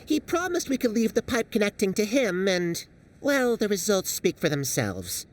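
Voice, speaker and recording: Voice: medium pitch, sing-song, normal speed, distant mic, a little hiss or hum, close to an everyday voice. An actor playing a sardonic small forest creature, mock-polite and teasing, in a game cutscene. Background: music.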